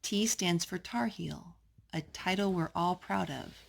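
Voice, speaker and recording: Creaky, lower-voiced woman reading to a child. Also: unhurried. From North Carolina. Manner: gentle questions and asides to a young child. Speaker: storytime host reading children's books aloud to a child. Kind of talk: children's read-aloud storytime.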